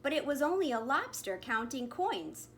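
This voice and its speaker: A woman's voice: high voice, very animated, normal speed. A woman reading a picture book aloud expressively to young children. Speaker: kindergarten principal. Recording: faint background noise.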